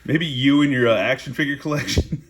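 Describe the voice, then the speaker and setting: A man's voice: mid-range voice, fairly quick. A man reading a story aloud in a casual, amused way, breaking off into informal asides.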